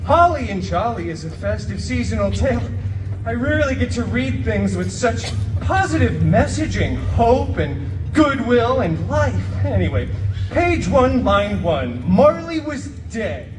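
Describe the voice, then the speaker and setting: An actor playing a wisecracking, self-mocking superhero storyteller in a live comic show, hammy and sarcastic, with exaggerated emphasis. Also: male voice, very high-pitched, very animated, a little slow, heavily acted. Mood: gleeful, theatrical. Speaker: theme park stage show performer. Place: outdoor theme park stage. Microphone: amplified show, recorded from audience camera. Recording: roomy distant noisy outdoor show recording.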